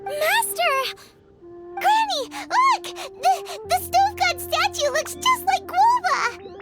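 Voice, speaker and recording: A woman's voice: big pitch swings, takes it slowly, full character voice. An actress playing a cheerful, naive young girl cook in a game, with a childlike voice and wide-eyed, exclaiming delivery. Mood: startled, excited. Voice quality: very high, childlike. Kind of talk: animated game voice acting. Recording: loud music behind the voice.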